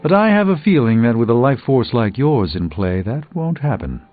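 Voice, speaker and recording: A man's voice: mid-range voice, very animated, moderate pace, narrow, tinny audio, faint music behind, close to an everyday voice. A man voicing an erudite, self-assured middle-aged sorcerer in a video game, speaking in precise, wordy, pompous phrases. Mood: musing, philosophical.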